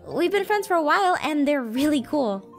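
Animated series: excitable, awkward teen girl, very high and lively, stammers and giggles. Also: moderate pace, faint music behind. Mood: awkward, casual. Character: shy, excitable freshman high school girl, gamer and anime fan. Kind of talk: animated roleplay voice acting.

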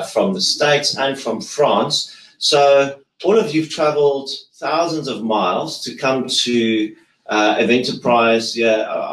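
A man in his thirties hosting a relaxed group conversation in an office meeting room, stopping and restarting often. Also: mid-range voice, echoey room, rough recording. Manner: relaxed, halting, many restarts. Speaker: host.

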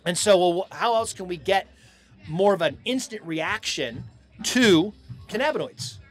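A middle-aged American man talks as the guest in a casual outdoor interview. His voice sits a little higher than average. He speaks in stop-and-go phrases into a handheld microphone. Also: lively intonation, measured pace, soft background music. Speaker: hemp farm owner being interviewed. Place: outdoors on a festival lawn.

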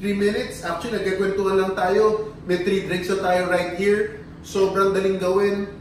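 A man's voice: sits high, level tone, normal speed. Filipino male host, code-switching product presenter; very roomy, distant sound. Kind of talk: casual product demo presenting, mixing English and Tagalog. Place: small tiled demo kitchen, indoors. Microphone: distant camera microphone. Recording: echoey room, distant mic, background noise.

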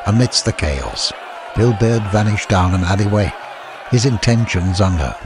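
A man reading a scripted story as an audiobook narrator, with a husky voice. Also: fairly low pitch, lively intonation, moderate pace, crowd noise, background noise.

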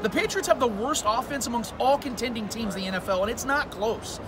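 A man giving opinionated postgame fan analysis outdoors in a stadium at night, emphatic and forceful. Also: extremely high pitch, a little fast, over music. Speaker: guest podcaster. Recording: loud outdoor stadium noise.

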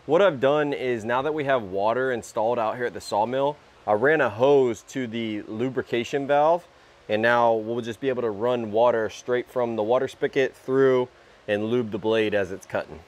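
A young man hosting a casual workshop vlog, talking in a relaxed way as he works at a sawmill under an open-sided shed. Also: mid-range voice, moderate pace, noisy background. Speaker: sawmill channel host.

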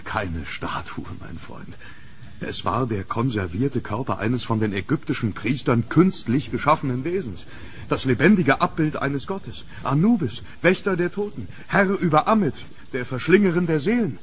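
Man acting as haunted gentleman archaeologist, horror radio play, formal and dramatic, mid-pitched male voice, faint noise behind. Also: animated, moderate pace, natural voice. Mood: grave, mysterious. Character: haunted British gentleman archaeologist recounting a dreadful curse.

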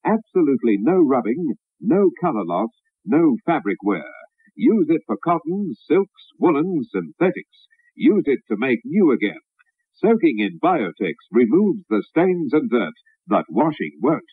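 A man's voice: medium pitch, big pitch swings, a little slow, husky, no room sound. A man reading a scripted 1960s radio advertisement with an emphatic sales delivery. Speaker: commercial announcer. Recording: narrow-band vintage radio recording.

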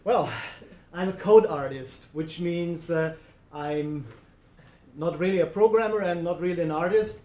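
A man's voice: on the high side, warm tone. German-accented man giving a chatty talk. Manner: chatty, laughing, many fillers. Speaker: conference speaker. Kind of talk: presentation talk. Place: lecture room. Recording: echoey lecture room.

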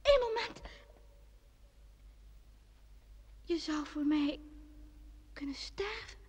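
A woman playing a tremulous, lovelorn sweetheart, halting and plaintive, in a radio play. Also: high voice, lively intonation, a little mellow, slight background noise. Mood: tearful, pleading. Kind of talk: Dutch radio play acting.

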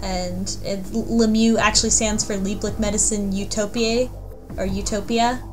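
A woman in a casual chat, recapping a story and explaining details in a halting way. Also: mid-range voice, steady tone, music in the background. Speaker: podcast panelist.

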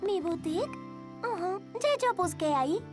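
A woman's voice: very high voice, expressive, a little slow, over music. A woman playing a kind, modest young girl in a children's cartoon. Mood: helpful.

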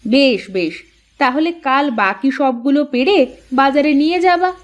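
A woman's voice: high voice, a little slow, muffled recording. A woman playing an outspoken village housewife in a cartoon, exclamatory and nagging in rustic everyday speech. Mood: curious. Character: outspoken village housewife, scolding yet easily amazed. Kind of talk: cartoon moral-story voice acting.

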